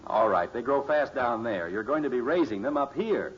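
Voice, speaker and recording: An actor playing a patient, skeptical middle-aged father in a 1950s radio sitcom, speaking in a measured, wry way. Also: mid-range voice, lively intonation, close to an everyday voice. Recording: muffled, hissy old radio recording.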